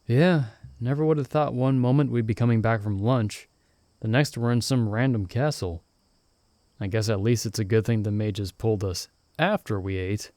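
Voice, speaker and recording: A man's voice: fairly low pitch, steady tone, moderate pace, dark tone, dry recording, natural voice. A man playing a cheerful, caring young male mage in a casual, reassuring ASMR fantasy roleplay, talking as if to a close friend. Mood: sheepish, amused. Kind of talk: ASMR roleplay, intimate one-sided conversation.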